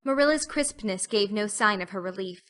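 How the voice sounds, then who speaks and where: A woman's voice: mid-range voice, expressive, normal speed, a bit bright. A woman reading scripted audiobook narration of a story as its narrator.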